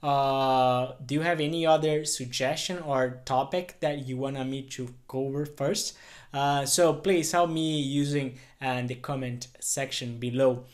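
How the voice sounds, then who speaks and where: A man's voice: mid-range voice, steady tone, unhurried. A man in his thirties from Brazil with a Brazilian Portuguese accent, earnestly talking to camera in his living room, with many fillers and restarts. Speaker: YouTuber. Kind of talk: casual talk to camera.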